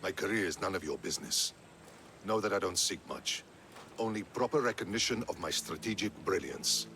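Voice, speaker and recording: An actor playing a proud, curt, ruthlessly pragmatic Athenian general in a video game cutscene. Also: male voice, low voice, animated, a little fast, background noise. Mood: haughty, determined. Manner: curt, haughty.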